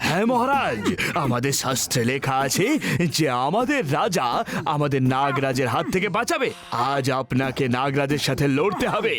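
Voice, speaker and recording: A man's voice: on the high side, big pitch swings, moderate pace, a bit bright, a little hiss or hum. A man voicing a fervent, reverent tribesman in a children's comedy cartoon, declaiming to his king. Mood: solemn, reverent.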